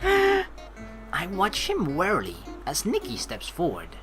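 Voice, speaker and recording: A woman narrating a game playthrough, with animated, jokey asides and some swearing. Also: on the low side, takes it slowly. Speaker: let's play streamer. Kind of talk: playthrough narration with casual reactions. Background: background game music.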